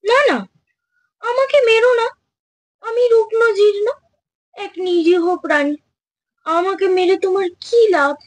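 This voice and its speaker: A woman's voice: very high-pitched, measured pace, pauses often. A woman voicing a wily, deferential small animal in a children's cartoon, with a sing-song, pleading manner. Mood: frightened pleading.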